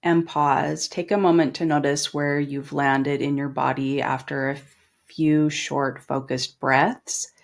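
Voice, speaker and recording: A middle-aged woman leading a guided meditation in a calm, gentle, instructing manner with long pauses. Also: low voice, creaky. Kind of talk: guided body scan meditation.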